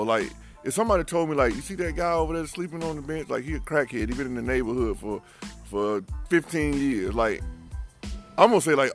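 A man in his forties co-hosting a casual podcast, who gets loud and fired up as he talks. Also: on the high side. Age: 40s. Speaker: podcast co-host.

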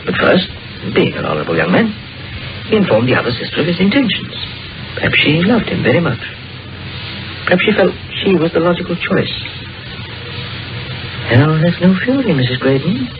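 An actor playing an imaginative, dryly amused small-town doctor who muses like a storyteller in an old-time radio drama. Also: male voice, sits high, wildly swooping pitch, heavily acted. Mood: speculative, storytelling. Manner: musing, storytelling, deliberate phrasing. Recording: muffled vintage radio recording with music.

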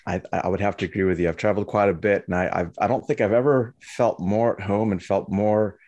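A man's voice: fairly low pitch, fairly even intonation, brisk, a little mellow, dry recording. A middle-aged man from Lebanon, a guest talking casually in an interview, with many restarts and fillers. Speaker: guest, trading educator.

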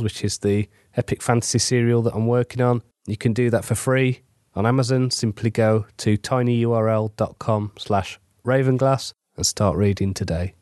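A man's voice: mid-range voice, a little mellow, dry recording, clean studio sound. A middle-aged English man with a cold, chatting casually through a weekly update on his writing. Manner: chatty, conversational, self-deprecating asides. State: congested from a cold. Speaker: fantasy author.

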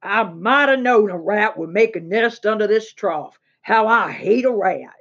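A woman voicing a gruff, irritated farmhand man in a children's storybook, with drawn-out exclamations. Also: medium pitch, animated, a bit bright, lo-fi sound. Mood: disgusted, irritated.

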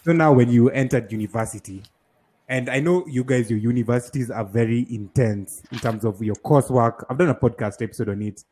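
A man's voice: medium pitch, brisk, dark tone, husky. Man asking questions on a podcast. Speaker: podcast host. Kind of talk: interviewing on a podcast.

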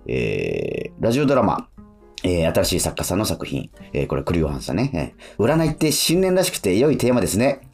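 Low-voiced male radio host, halting delivery. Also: huge pitch swings, creaky, a little mellow, husky, soft background music. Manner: halting, filler-heavy delivery. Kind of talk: casual radio talk.

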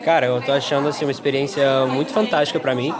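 Quick Brazilian man at a crowded congress. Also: mid-range voice, fairly even intonation. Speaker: congress participant interviewee. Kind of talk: casual chat. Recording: busy event crowd behind the voice.

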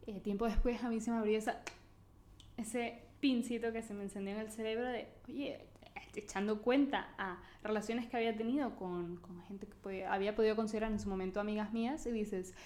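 A woman co-hosting a casual podcast chat, playful and quick to joke. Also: mid-range voice, steady tone, darkish tone. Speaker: podcast co-host.

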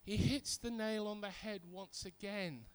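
Middle-aged British male preacher, high voice, conversational storyteller who repeats key points with emphasis. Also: steady tone, measured pace, smooth, low-quality recording. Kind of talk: sermon with personal anecdotes.

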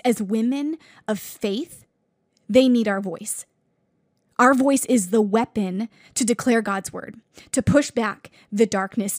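A woman's voice: fairly high pitch, darkish tone, no echo, studio quality. A woman preacher giving an earnest, fervent sermon in a conversational way, with asides to her listeners.

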